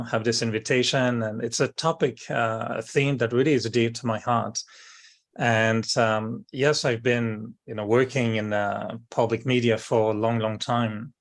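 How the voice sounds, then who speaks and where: A man's voice: mid-range voice, gravelly. A middle-aged man with a French accent, from Brittany, giving a thoughtful talk as a guest speaker, with many fillers and repeated words. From Brittany, France. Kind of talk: reflective talk.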